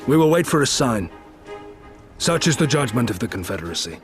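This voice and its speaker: An actor playing a weary, grave pirate leader in a video game, solemn and deliberate with weighty pauses. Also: male voice, mid-range voice, big pitch swings, lightly acted. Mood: resolute, solemn. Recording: background music.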